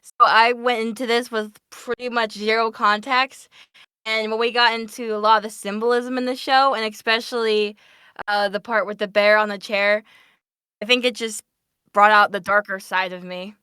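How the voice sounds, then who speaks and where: A woman with a fairly high, very bright voice chats casually on a panel. She tells stories in a giggly, animated way, and the sound is close and dry. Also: normal speed, clean tone, no room sound. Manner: giggly, animated storytelling with filler "like". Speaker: voice actor and dub director on a panel. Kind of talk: casual panel chat, telling anecdotes.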